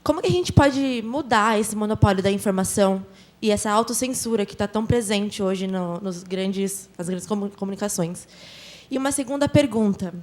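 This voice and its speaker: A woman's voice: medium pitch, normal speed. A Brazilian woman putting questions to a panel, earnest and stumbling a little over her words.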